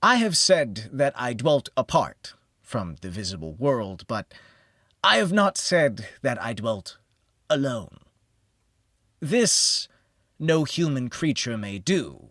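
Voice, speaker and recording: A man narrating a gothic horror audiobook in the first person, theatrical and measured, with deliberate pauses. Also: medium pitch, fairly bright tone, dry recording, clean studio sound.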